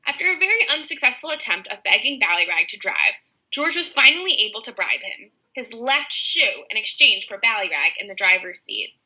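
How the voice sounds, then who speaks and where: A woman narrating a children's book aloud with an expressive storyteller's delivery. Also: fairly high pitch, brisk, rough recording.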